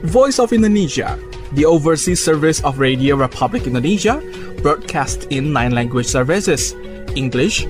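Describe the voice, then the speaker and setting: A man reading a scripted station promo in a measured, formal announcing manner. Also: fairly high pitch, lively intonation. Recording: station ID over music.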